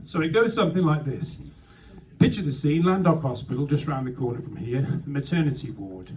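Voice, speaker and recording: A man's voice: medium pitch, lively intonation, fairly quick, a little mellow. A man with a Welsh accent telling comic stories on stage, breaking off for wry asides, recorded from a distance. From Wales. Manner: self-interrupting, wry asides. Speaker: stand-up comedian. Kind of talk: live comic storytelling. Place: live venue before an audience. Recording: distant, noisy live room recording.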